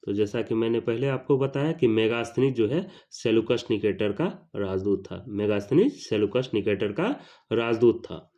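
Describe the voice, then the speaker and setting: A man with an Indian accent teaching an exam question-and-answer lesson, deliberately repeating each question and answer. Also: lowish voice, mellow, dull audio.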